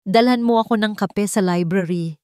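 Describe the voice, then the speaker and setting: A woman voicing a reserved billionaire man, a stern but quietly caring employer, in a romance story. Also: medium pitch, moderate pace, clear, resonant tone, dry recording, studio quality, natural voice. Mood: commanding.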